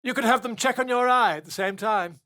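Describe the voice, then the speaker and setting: A man's voice: very high-pitched, a little fast, pushed and bright, no echo, studio quality. An actor playing a pompous, flamboyant male author and chronicler who is inquisitive and fussy, speaking in a theatrical, florid and wordy way in a video game.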